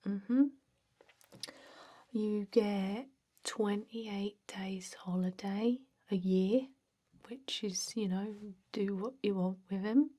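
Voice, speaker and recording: A woman acts out a female energy vampire working a dull office job in an ASMR roleplay. The voice is soft, mellow and breathy, often dropping into a whisper. The delivery is extremely slow, halting and deadpan, droning on without hurry. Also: mid-range voice. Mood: bored, chatty. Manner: halting, monotone, hesitant, deadpan.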